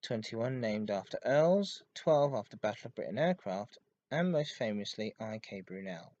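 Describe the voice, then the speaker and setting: Male podcast host, halting enthusiast delivery. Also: lowish voice, lively intonation, darkish tone, no echo, muffled recording. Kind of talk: informative enthusiast monologue about railway history.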